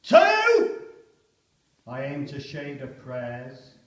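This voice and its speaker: A man's voice: mid-range voice, huge pitch swings, drawn-out words, heavily acted. A man performing a dramatic poem as a stunned, fatalistic British soldier of the First World War waiting to attack. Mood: tense, defiant. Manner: dramatic declaiming with sudden loud shouted countdown calls. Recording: echoey church, distant microphone.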